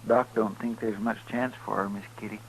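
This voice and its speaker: A man's voice: lowish voice, level tone, brisk, creaky. An actor playing a folksy, deferential lawman's helper with a western in a radio drama. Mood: subdued. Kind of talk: 1950s radio western acting. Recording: noisy 1950s radio broadcast recording.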